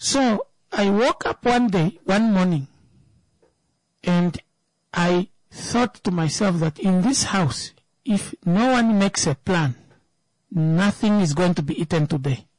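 A woman's voice: fairly low pitch, slow, darkish tone, dry recording, low-quality recording. A woman, giving an emphatic opinion talk that turns into a personal story, repeating words for stress.

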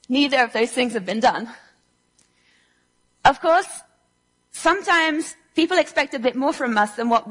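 A young woman with a slightly high voice delivers a prepared talk to a live audience. She tells personal stories with earnest conviction and an occasional dry, self-deprecating aside. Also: fairly bright tone, in a large hall, rough recording. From Switzerland. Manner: earnest, storytelling with dry humor. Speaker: speaker giving a talk. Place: lecture room before an audience.

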